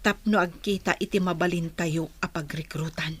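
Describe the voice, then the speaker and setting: A woman's voice: on the low side, steady tone, normal speed, breathy edge. A woman with an Ilocano accent playing a watchful, commanding rebel recruiter in an audio drama. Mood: urgent, cautious.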